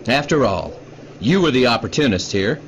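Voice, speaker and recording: An actor in a radio drama playing a bitter, sleepless scientist, grave, sardonic and accusing, bent on revenge. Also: male voice, mid-range voice, big pitch swings, moderate pace. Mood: quietly menacing. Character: bitter, sleepless male scientist, grimly vengeful. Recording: dull radio drama recording, faint noise.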